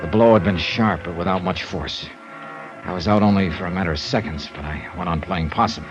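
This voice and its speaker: An actor playing a tough, steady American investigator, matter-of-fact and persuasive, in old-time radio drama style. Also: male voice, sits low, fairly quick, over music, lightly acted. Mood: hard-boiled narration. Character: tough, steady male insurance investigator hero. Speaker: lead and narrator. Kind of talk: old-time radio drama acting, first-person detective narration. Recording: muffled old radio recording.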